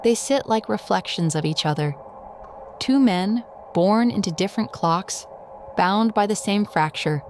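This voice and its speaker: A woman narrator with a medium-pitched voice delivers dramatic scripted narration slowly and solemnly. A faint ambient noise runs behind her. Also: lively intonation, darkish tone. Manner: measured, solemn.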